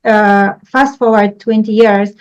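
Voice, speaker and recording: A woman's voice: medium pitch, steady tone, a little slow, clean tone. Polish-born woman host, lo-fi sound. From Poland. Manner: polite, formal introductions. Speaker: podcast host. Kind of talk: interview hosting. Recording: dull lo-fi sound.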